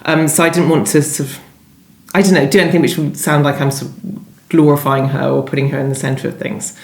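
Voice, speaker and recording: A woman talks conversationally as an interview guest. She is hesitant and rephrases herself as she goes. The room adds clear echo. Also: sits low, little pitch movement, a little fast, a little mellow. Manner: hesitant, self-correcting. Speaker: novelist guest. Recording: roomy, echoey sound.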